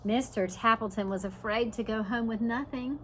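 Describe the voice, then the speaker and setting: A woman's voice: on the high side, moderate pace, slight background noise. A woman preschool teacher reading a picture book aloud and stopping to explain it simply for young children. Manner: simple explanatory asides, dramatized story reading.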